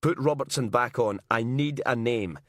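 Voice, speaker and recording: A man's voice: medium pitch, moderate pace, fairly bright tone, no room sound, clean studio sound, voice pushed up, close to an everyday voice. A man reading a tense, stubborn male detective sergeant who presses hard. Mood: pressing. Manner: urgent, pressing.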